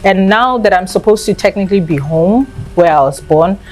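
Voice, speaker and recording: Thirtyish woman from south africa, chatty and candid, mid-pitched, telling how she ended up running a nail salon. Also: lively intonation, moderate pace, darkish tone, faint music behind. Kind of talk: casual interview answers.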